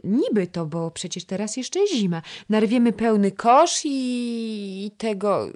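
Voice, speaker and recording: A little girl chatters away in a high, sing-song voice, wheedling and eager to play. The voice leaps about brightly and never settles, sweet and insistent in a storybook way. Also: slow pace. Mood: playful. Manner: high, lively, coaxing childlike voice. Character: chatty little girl, a playful young princess's ward.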